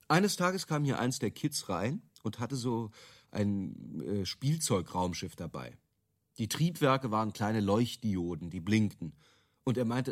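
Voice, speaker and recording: An actor playing a brash, self-assured marketing man in a radio drama, emphatic, opinionated and dismissive. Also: fairly low pitch. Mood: enthused pitching. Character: brash, self-assured male product-marketing creative selling gadget stories. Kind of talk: radio drama dialogue in interview form.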